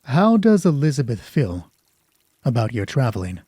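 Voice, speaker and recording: A man playing a polite marriage counselor in an audio drama, measured, probing and placating. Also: medium pitch, very animated, mellow, husky, no room sound, studio quality. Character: calm, polite male marriage counselor doctor.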